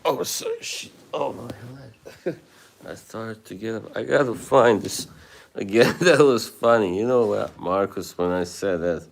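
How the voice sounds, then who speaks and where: Man, Eastern European accent, deep voice, unhurried, laughs a lot, livestream chat. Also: creaky. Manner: laughs often, swears casually, reads chat aloud. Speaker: livestream host. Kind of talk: casual livestream chat with viewers.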